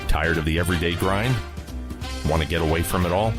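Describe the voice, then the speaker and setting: A man's voice: deep voice, brisk. A man hosting a podcast with scripted, radio-style announcing. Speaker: podcast host. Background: guitar music.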